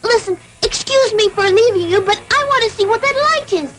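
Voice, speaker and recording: A little cartoon puppet boy speaks in an extremely high voice. He is eager, anxious and earnest, full of exclamations, with music clearly playing behind him. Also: fairly quick, fairly bright tone. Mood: anxious, determined. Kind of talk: cartoon dub acting.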